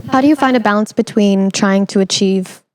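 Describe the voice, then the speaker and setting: A woman's voice: mid-range voice, brisk, a little mellow, no room sound. Young woman hosting, close dry sound. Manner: encouraging, supportive. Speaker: podcast host. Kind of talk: conversational interview.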